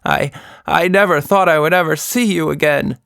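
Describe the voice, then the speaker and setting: A man's voice: on the high side, normal speed, vocal fry, a bit bright, dry recording, clean studio sound, pitched above the performer's own voice. A man voicing a talkative, short-sighted female monkey who rambles and interrupts in a children's story. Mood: emotional, worried.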